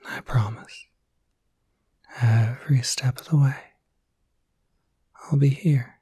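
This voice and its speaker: Male actor as kind werewolf caretaker, ASMR roleplay, breathy hushed whisper, soothing, hesitant, close and dry sound. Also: medium pitch, fairly even intonation, takes it slowly, no echo. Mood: gentle, encouraging. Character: gentle, reassuring male werewolf caretaker, pleasant and patient. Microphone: close mic.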